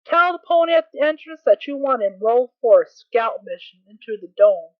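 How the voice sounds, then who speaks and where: A woman's voice: fairly high pitch, lively intonation, normal speed, no room sound, natural voice. A woman playing a concerned, caring unicorn mare in an amateur audio drama, earnest and hesitant as she explains. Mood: worried, resigned. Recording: tinny, band-limited dry home recording.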